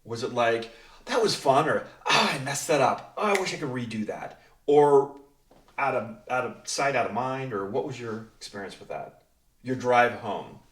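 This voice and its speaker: A man's voice: mid-range voice, moderate pace, a bit bright, a little hiss or hum. A man hosting a casual round-table chat, talking in a self-deprecating way. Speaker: host and director. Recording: echoey room, distant from mic.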